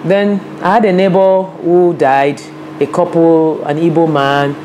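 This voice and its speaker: Nigerian woman in her 50s telling her story in an interview, earnest, lots of "you know", mellow voice, faint hum behind. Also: lowish voice, steady tone, unhurried, low-quality recording. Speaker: interviewee, women's rights advocate. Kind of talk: reflective personal storytelling. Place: office with a large window, seated at a desk.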